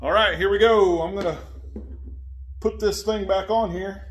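A man's voice: high-pitched, steady tone, unhurried. A man in his forties casually explaining a motorcycle windshield install in his garage, talking while he works and drifting from the phone camera. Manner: plain-spoken, talks while working with his hands, drifts from the mic. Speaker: motorcycle vlogger. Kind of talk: casual how-to narration while working.

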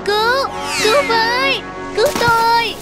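A woman's voice: very high voice, fairly even intonation, unhurried, over loud music. A woman voicing a friendly little boat anchor in a cartoon, with a chirpy, coaxing way of talking. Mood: panicked.

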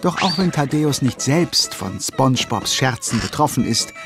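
A man playing a cheerful storyteller, narrating a German children's cartoon audio drama with animated delivery. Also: medium pitch, moderate pace, over loud music, natural voice. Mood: wry, lively.

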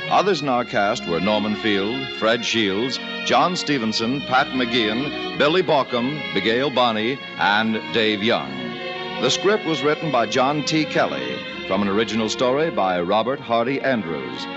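A man's voice: medium pitch, expressive, measured pace. An American man announcing in a stately, polished, formal 1940s radio style. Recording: dull old radio recording, loud music.

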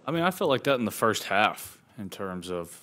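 Young male college quarterback at a press conference, deep voice. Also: sing-song, normal speed, sharp tone. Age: 20s. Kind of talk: postgame press conference answers. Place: press conference table indoors.

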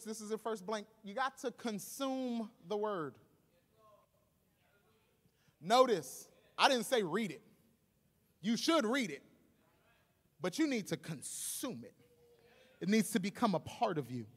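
A man's voice: high voice, fairly bright tone. A man in his thirties preaching as a pastor to a congregation, conversational and emphatic with humorous asides, on a handheld microphone. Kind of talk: animated sermon to a congregation. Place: large stage in an auditorium. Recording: reverberant hall PA sound.

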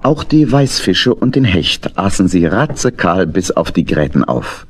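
Guy narrating a kids audio story, deep husky voice, very animated intonation. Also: unhurried, a little hiss or hum. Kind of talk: scripted children's story narration.

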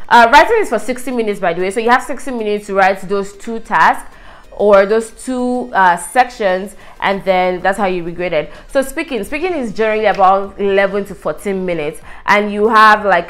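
A young woman from Nigeria, a native Yoruba speaker, explains a test in an easygoing, talkative way with plenty of "uh" and "like". She sits at a desk in a bright room and is recorded by a camera a short way off. Her pitch sits in an ordinary middle range for a woman. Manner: chatty, gestures a lot, frequent fillers. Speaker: vlogger giving exam tips. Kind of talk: casual explanatory vlog. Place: indoors in a bright apartment room by a window.

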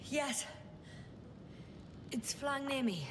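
An actress playing a composed, wry woman scientist exploring a planet in a video game, speaking in a measured, dry way. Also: high voice, lively intonation, background noise, full character voice. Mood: focused.

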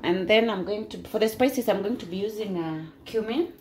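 A young woman vlogger from Kenya, calmly explaining her cleaning and cooking steps in a casual voice-over. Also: mid-range voice, fairly quick. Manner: plain step-by-step explaining. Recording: echoey room with background noise.

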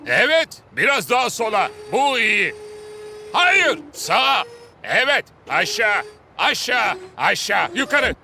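A bossy cartoon schemer, with a high, extremely bright and sharp voice. He speaks in clipped commands. Faint noise sits behind him. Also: very animated, slow, gravelly, exaggerated voice. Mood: bossy, impatient. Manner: commanding, giving clipped orders. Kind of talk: animated cartoon dub acting.

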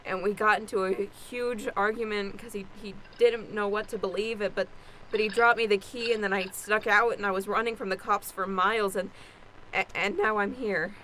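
An actress voices an anxious young woman fugitive in an improvised radio play. She stammers and rambles in a frazzled way. There is clear noise behind her. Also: medium pitch, fairly even intonation, normal speed, pushed and bright, natural voice, rough recording. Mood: agitated, rambling. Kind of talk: improvised old-time radio play acting.

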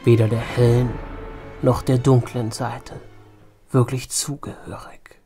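A man's voice: medium pitch, little pitch movement, slow pace, warm tone. A man narrating an audio drama in a dramatic, scripted storytelling style. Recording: heavy echo and long hall reverb. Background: music.

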